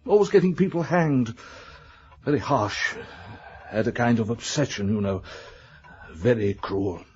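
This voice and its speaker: A man's voice: mid-range voice, expressive, measured pace, a little mellow, lightly acted. A man playing a fussy, evasive small-town British letting agent in a radio drama, hesitant, rambling and eager to please. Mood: evasive, uneasy. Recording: dull lo-fi radio drama recording.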